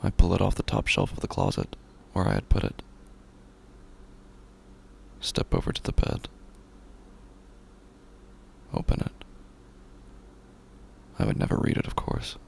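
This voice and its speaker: A man acting a haunted widower sliding into madness in a horror audio drama. His very deep voice is thick with creak and often thins to a breathy near-whisper. He broods haltingly, with pitch that swings widely between pauses. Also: very animated, a little mellow, a little hiss or hum, full character voice. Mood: hushed grief. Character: grieving, haunted widower slowly unraveling into madness. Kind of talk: first-person horror audio drama monologue.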